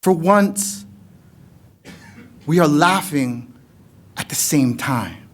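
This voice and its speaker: A man's voice: a little high, lively intonation, very slow. A middle-aged man with an American accent, a poet speaking expressively to an audience at a public reading. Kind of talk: casual introduction and poetry reading to an audience. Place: indoor lecture room, at a podium. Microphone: podium gooseneck microphone.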